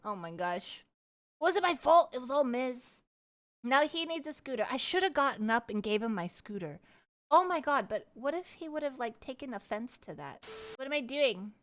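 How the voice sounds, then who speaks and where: A high-voiced woman streamer talks casually to her viewers. She is animated and giggly, rambling from one thought to the next as she tells her stories in a conversational livestream style. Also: normal speed. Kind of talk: casual livestream chat and storytelling.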